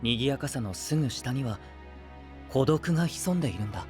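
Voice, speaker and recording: An actor playing a gentle, idealistic young knight who leads an alliance, speaking softly and earnestly in anime audio drama style. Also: male voice, medium pitch, animated, soft background music, close to an everyday voice. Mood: pensive. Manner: soft-spoken, earnest, measured.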